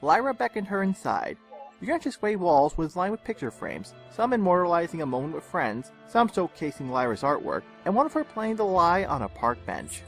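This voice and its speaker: Animated male narrator over music. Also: sits high, a little fast, creaky. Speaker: story narrator and channel host. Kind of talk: expressive storytelling narration.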